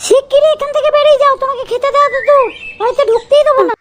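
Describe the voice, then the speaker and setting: A woman playing a bossy, scolding village wife in an amateur comedy cartoon, nagging and commanding through a squeaky pitched-up effect. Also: steady tone, very fast, noisy background. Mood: angry.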